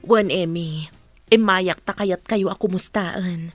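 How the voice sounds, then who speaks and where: A woman's voice: lowish voice, takes it slowly. A woman with an Ilocano accent playing an outspoken, protective family friend in a radio drama, earnest and emphatic. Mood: friendly. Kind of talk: Filipino radio drama acting.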